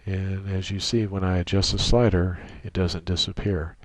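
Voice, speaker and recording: A man giving an unscripted software tutorial in a methodical, technical way with halting phrasing, close on a USB microphone. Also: low-pitched, little pitch movement, dry recording. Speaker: tutorial presenter.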